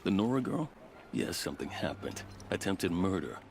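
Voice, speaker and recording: An actor playing a gruff, matter-of-fact guard in a video game. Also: male voice, deep voice, big pitch swings, moderate pace, a little hiss or hum.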